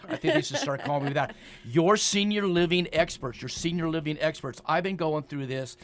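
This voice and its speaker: A man's voice: fairly high pitch, expressive, a little fast, fairly bright tone. A man in his sixties hosting a promotional radio talk show, speaking emphatically and repeating phrases for effect. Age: 60s.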